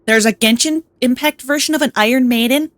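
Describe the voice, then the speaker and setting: A woman's voice: sits high, brisk, dry recording. A woman giving casual gameplay commentary as a streamer, rambling, reactive and given to swearing. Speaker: game streamer. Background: game music.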